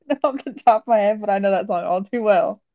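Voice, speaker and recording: A woman with a raspy voice, chatting casually as a podcast co-host. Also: medium pitch, a little fast, mellow, no room sound, highs cut off. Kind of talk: casual chat.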